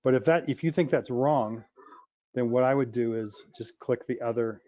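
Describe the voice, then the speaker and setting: A man co-hosts a question-and-answer call, calmly walking a caller through software step by step. His voice sits in a middle register. The audio is dull and lo-fi, with a dry sound and no room echo. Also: medium pitch, normal speed. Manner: practical, step-by-step explainer. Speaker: co-host. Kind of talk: explaining software in a Q&A.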